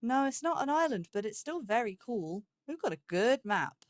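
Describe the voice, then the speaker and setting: A woman's voice: a little high, sing-song, normal speed, dry recording, studio quality. A woman giving casual, rambling gameplay commentary, thinking aloud with a playful, self-chiding manner. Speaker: let's play host.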